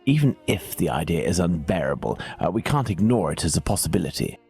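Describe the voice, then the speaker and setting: Deep-voiced British detective, coolly precise. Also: male voice, big pitch swings, vocal fry, a little mellow, faint music behind, full character voice. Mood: analytical, composed. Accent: British English. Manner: measured, formal, precise, didactic. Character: calm, analytical middle-aged male Victorian English detective. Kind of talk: dramatic video game dialogue.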